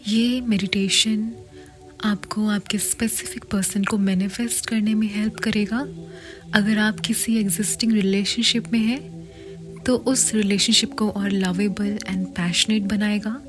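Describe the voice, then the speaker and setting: A woman with an Indian accent narrates a guided meditation at an unhurried, slow pace. Her delivery is soft and soothing, close to a breathy whisper at times, giving gentle instructions. Clear music plays behind her mid-pitched voice. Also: level tone. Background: background music.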